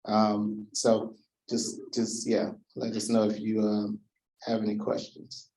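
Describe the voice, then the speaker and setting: A man hosting a community meeting in a meeting room, explaining the ground rules in a relaxed way with many fillers. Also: fairly low pitch, takes it slowly, poor audio. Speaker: event host. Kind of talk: community presentation.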